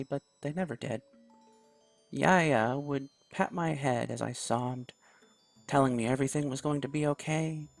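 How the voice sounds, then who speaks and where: A man quietly reading a visual novel aloud in first person, soft-spoken. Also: mid-range voice, gravelly. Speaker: video game YouTuber.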